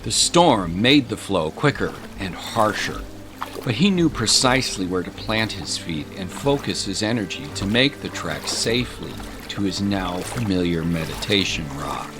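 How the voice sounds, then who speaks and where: A man narrating a scripted audio drama with measured, dramatic storytelling. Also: lowish voice, expressive. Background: music.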